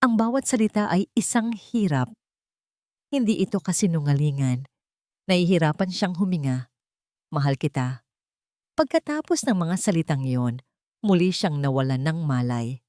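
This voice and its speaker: A woman narrates a romantic drama in a fairly low voice. Her delivery is scripted and dramatic, the voice of a storyteller. The sound is dry, with no room around it. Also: animated, normal speed, a little mellow, no room sound.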